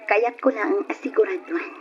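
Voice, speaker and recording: A woman acting in an Ilocano radio drama, playing a gentle, apologetic young bride-to-be. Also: mid-range voice, fairly even intonation, moderate pace, natural voice. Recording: dull, noisy old radio recording.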